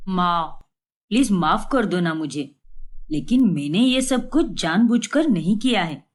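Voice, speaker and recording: A woman's voice: lowish voice, animated, a little slow, voice pushed down. A woman voicing a mild young married son in a family story, placating in manner. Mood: apologetic. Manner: pleading, placating.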